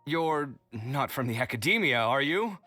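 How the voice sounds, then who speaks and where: A man's voice: medium pitch, lively intonation, moderate pace, bright, forceful tone. A man playing a dramatic, easily flustered young man in a video game, fussy and theatrical. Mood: flustered surprise. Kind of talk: English video game character acting. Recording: game music behind the voice.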